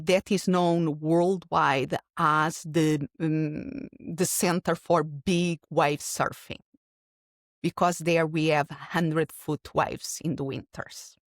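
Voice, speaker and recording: A woman's voice: deep voice, no echo, clean studio sound. Portuguese-accented woman, thoughtful and earnest. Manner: earnest, reflective, speaks in long explanatory runs with restarts. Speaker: keynote speaker guest. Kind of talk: podcast interview answer.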